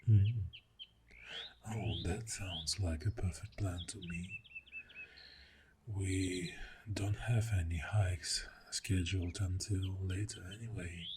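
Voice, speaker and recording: A man playing a clingy, tender boyfriend in an ASMR roleplay, speaking softly and intimately, often in a breathy whisper with lingering murmurs. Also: low voice, fairly even intonation, slow, noisy background. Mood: contented, cuddly. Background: birdsong and outdoor ambience.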